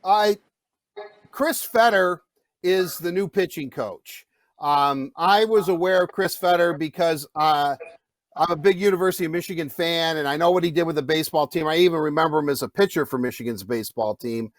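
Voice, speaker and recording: High-voiced male host, dry sound. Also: normal speed, no room sound. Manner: rambling questions, says he has a big loud voice. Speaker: radio host. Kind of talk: conversational interview questions.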